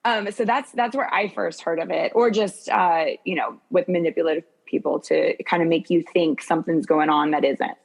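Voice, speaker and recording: A woman's voice: fairly low pitch, fairly even intonation, moderate pace, gravelly, hardly any pauses, breathy edge. A woman coach speaking as a guest in a casual conversation, informally thinking aloud.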